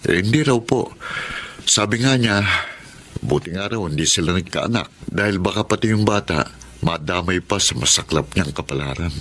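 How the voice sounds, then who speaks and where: An actor playing a soft-hearted, compassionate male attorney in a Tagalog radio drama. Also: low-pitched, lively intonation, normal speed. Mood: earnest, pitying.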